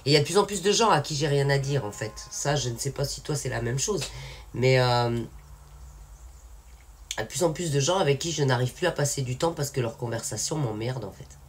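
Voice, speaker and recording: A woman's voice: very deep voice, animated, a little fast, slight background noise. Frank rambling Frenchwoman. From France. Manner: rambling, frank, many fillers and restarts. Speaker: independent singer and channel owner. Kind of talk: casual spoken update to viewers.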